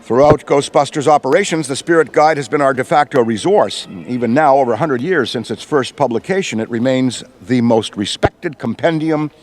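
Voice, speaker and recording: An actor playing Ray, an enthusiastic middle-aged veteran ghostbuster who rambles in wordy, professorial speech. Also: male voice, mid-range voice, moderate pace, few pauses, a little mellow, lightly acted. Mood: lively. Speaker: veteran mentor. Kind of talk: video game cutscene voice acting.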